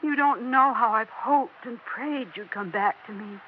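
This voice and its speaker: A woman's voice: a little high, unhurried, lightly acted. An actress playing an anxious, clinging older wife who pleads with her husband, in a 1960s radio drama. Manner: pleading, emotional, insistent. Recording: dull old radio broadcast with faint hiss.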